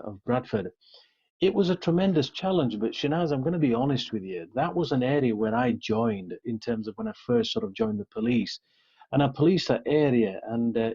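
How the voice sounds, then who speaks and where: Man, middle-aged, Yorkshire accent, husky, dark warm tone, conversational, earnest, dulled recording. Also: mid-range voice, lo-fi sound. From Yorkshire, England. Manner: frequent "you know" and "sort of". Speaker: interview guest, police officer.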